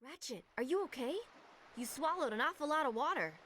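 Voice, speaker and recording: A woman's voice: sits high, lively intonation, fairly quick, very noisy. A woman voicing a young, caring and cautious companion in a video game. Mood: concerned.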